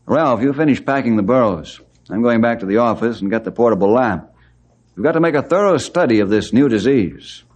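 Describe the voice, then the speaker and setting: An actor playing a steady, firm head forest ranger in an old-time radio western. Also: male voice, medium pitch, fairly quick, lightly acted. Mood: businesslike. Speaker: ranger leader. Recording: old radio drama recording.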